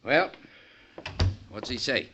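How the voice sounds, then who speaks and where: An actor playing a bitter, stubborn middle-aged rancher in an old-time radio western, gruff and commanding with folksy grammar. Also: male voice, medium pitch, expressive, slow, bright, forceful tone. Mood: stubborn, fervent. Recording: muffled, noisy vintage radio recording.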